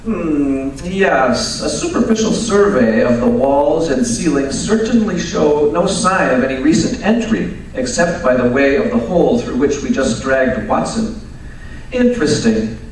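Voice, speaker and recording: A man's voice: medium pitch, expressive, natural voice. Man acting a precise detective, echoey stage. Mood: pedantic, composed. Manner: pedantic, measured, didactic. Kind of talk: old-time radio drama acting. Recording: echoey live stage, distant mic, faint noise.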